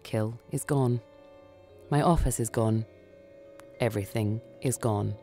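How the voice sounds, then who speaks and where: A woman reading a short story for radio in a theatrical, deliberate, dramatic narrating style. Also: rumbling low, warm tone, faint music behind.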